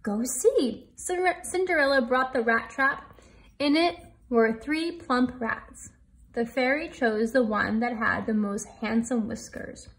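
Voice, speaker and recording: A woman's voice: a little high, unhurried, fairly bright tone, low-quality recording. A woman with an American accent, a teacher reading a storybook aloud to young children and explaining it expressively as she goes. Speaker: elementary school teacher.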